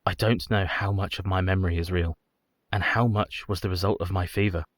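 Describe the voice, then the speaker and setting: An actor playing a haunted, devoted young Victorian husband in a radio play, solemn and formal. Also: male voice, deep voice, fairly even intonation, brisk, dry recording.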